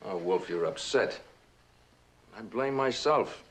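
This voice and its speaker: An actor playing a coldly calculating, condescending male manager in a measured, controlled suspense drama style. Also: on the low side, lively intonation, a little hiss or hum. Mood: smooth. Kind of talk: old radio and television suspense drama acting.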